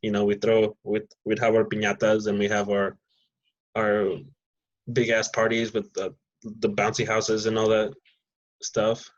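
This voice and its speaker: Low-voiced man hosting a casual podcast chat. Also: completely flat delivery, a little slow, lo-fi sound. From Mexico and Nebraska. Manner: loose, rambling, with frequent fillers and restarts. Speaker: podcast host. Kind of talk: casual conversation.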